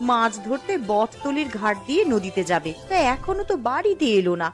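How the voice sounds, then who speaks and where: A woman's voice: on the high side, very animated, brisk, faint music behind. A woman playing a fretful village wife and mother in a cartoon, nagging and exasperated. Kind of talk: Bengali cartoon dialogue.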